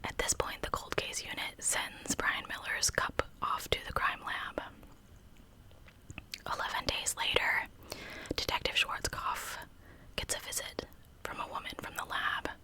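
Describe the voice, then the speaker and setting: A woman's voice: measured pace, long pauses, pushed and bright. A woman telling a story in a whispered ASMR style, informal and conversational with casual asides. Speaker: true crime ASMR host.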